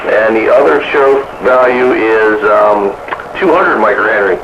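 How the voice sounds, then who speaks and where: A low-pitched man talks shop in a casual, folksy ramble over two-way radio. The transmission is dull and clearly noisy, with a boxy echo around the voice. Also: measured pace. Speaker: amateur radio operator. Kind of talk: casual technical radio chat.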